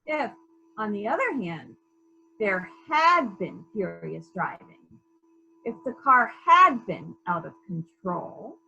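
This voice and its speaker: A woman playing a calm, persistent police investigator in a murder mystery reading, formal, measured and politely probing. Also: medium pitch, vocal fry, heavily acted, poor audio.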